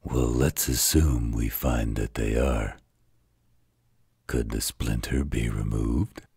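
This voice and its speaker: A man playing a gruff, protective werewolf mate and father figure in a roleplay audio drama, blunt and teasing, with a husky voice. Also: fairly even intonation, slow pace, warm tone, no room sound, clean studio sound, full character voice. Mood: serious, questioning. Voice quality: very deep, husky, gravelly.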